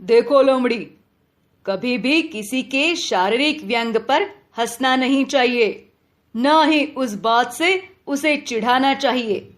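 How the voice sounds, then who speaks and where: A woman voicing a stern but fair lion king in a children's moral story, speaking firmly and deliberately. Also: high-pitched. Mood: admonishing. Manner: firm, admonishing, deliberate.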